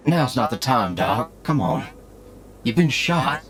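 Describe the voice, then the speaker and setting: An actor playing a loyal, steady gunslinger, earnest and urgent, in an anime-style audio drama. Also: male voice, medium pitch, takes it slowly, a crowd in the background. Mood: urgent, worried. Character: loyal, steady male gunslinger pleading for his partner's help.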